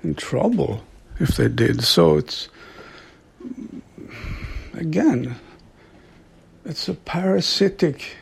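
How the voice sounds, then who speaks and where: A middle-aged man from Sweden, an author and devotee, talking reflectively in a slow, halting way with frequent soft laughs. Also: fairly high pitch, lively intonation, creaky, husky. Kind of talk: reflective monologue.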